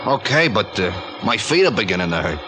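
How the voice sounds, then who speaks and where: An actor playing a slow-witted, slangy gangster's sidekick in a 1940s radio drama. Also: male voice, on the low side, big pitch swings. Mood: dim, curious. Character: dim, complaining gangster sidekick. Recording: noisy vintage 1940s radio recording.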